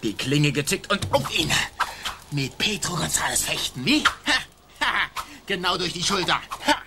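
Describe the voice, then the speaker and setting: An actor playing a boastful, swaggering Spanish colonial army sergeant in a theatrical, declamatory radio play style. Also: male voice, on the high side, sing-song, normal speed, very noisy. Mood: boastful, fiery.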